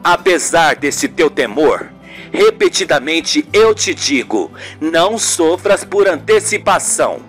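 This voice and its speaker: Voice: mid-range voice, sing-song, slow pace, faint music behind. A performer voicing a tender, devout boyfriend in an amateur radio soap, reassuring in solemn, florid phrases. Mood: tender, earnest.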